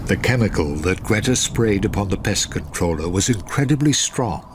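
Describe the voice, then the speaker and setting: A man narrating a radio drama in a measured, deliberate, scripted style. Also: lowish voice, animated, background noise.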